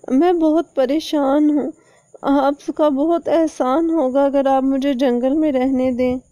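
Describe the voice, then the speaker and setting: A woman voicing a sly male cartoon parrot who pleads and flatters in an ingratiating manner. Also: high-pitched, slow pace, no echo.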